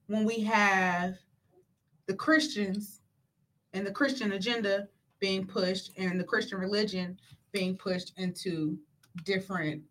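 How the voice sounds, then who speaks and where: A woman hosting a talk show in a casual, animated, slangy way, often repeating phrases for emphasis. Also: medium pitch, slow. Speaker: show host.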